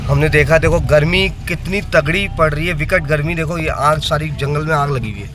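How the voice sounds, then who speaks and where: A man doing casual travel vlog commentary, excitedly pointing things out and repeating himself, recorded in a car on a mountain road. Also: fairly high pitch, moderate pace. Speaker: travel vlogger. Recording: noisy outdoor car recording. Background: loud vehicle and outdoor noise.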